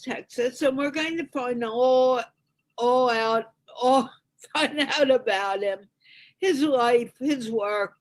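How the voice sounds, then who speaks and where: A woman's voice: a little high, rough recording. A woman hosting a live online interview show, chatty and loose, laughing often. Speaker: show host.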